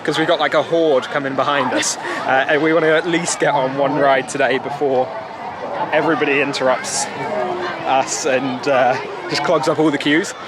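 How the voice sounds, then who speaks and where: A man's voice: mid-range voice, steady tone, normal speed. A young British man chatting casually and informally to viewers as a travel vlogger, recorded outdoors on a handheld camera. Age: young adult. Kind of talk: casual vlog chat. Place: outdoors in a theme park. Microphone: handheld camera at arm's length. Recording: noisy busy outdoor crowd ambience. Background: crowd and outdoor park noise.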